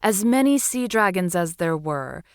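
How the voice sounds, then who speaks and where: A woman storyteller narrating bedtime stories for children in a soothing, deliberate, expressive manner. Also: fairly low pitch, smooth, no room sound, studio quality.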